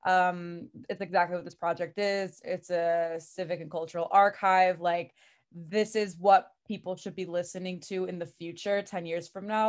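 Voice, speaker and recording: A talkative woman from the Chicago area introduces her role in a casual group chat. Her voice sits a little low and sounds smooth and clear, and she laughs often and leans on fillers as she talks. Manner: chatty, laughing, many fillers. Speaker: project creative consultant and transcriber.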